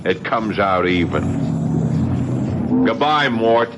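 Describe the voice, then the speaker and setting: An actor playing a coolly vengeful crime boss in a radio drama, taunting and falsely genial with clipped delivery. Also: male voice, fairly low pitch, animated, slow pace. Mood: cold vengeance. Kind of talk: 1970s radio drama acting. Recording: muffled old radio recording, noisy background.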